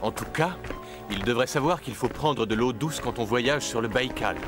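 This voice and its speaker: A man voicing a protective young cartoon hero who explains things gently, like an older brother. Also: mid-range voice, moderate pace, close to an everyday voice. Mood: watchful. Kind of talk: French cartoon voice acting. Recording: music behind the voice.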